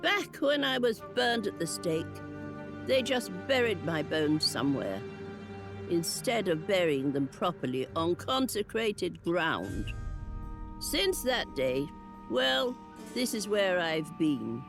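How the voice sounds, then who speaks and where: A woman's voice: lowish voice, sing-song, vocal fry, heavily acted. A woman voicing the lonely, wry ghost of a witch burned at the stake, in a game cutscene. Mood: wistful. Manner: slow, drawling, sly asides. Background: game music.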